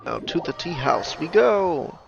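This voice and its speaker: A man giving casual let's play commentary as host, with a gravelly voice. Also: fairly high pitch, animated, unhurried. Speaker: gaming host. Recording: dull microphone over game music. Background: game music.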